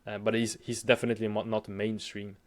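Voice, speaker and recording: A young man from Sweden with a Swedish accent, speaking English as a second language as an interview guest, hesitant and halting with frequent restarts. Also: lowish voice, flat delivery. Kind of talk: casual interview chat.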